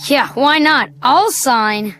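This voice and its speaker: A woman's voice: high-pitched, takes it slowly, a bit bright. A performer playing an eager, skeptical young boy in a radio drama, with a blunt, keen manner.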